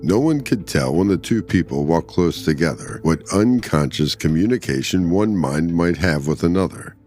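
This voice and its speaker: A man with a low voice hosts a short story podcast. He speaks as a storyteller, reading and remarking on classic fiction. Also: animated, normal speed, a little mellow, faint music behind. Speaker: story podcast narrator and host. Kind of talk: scripted story narration with casual commentary.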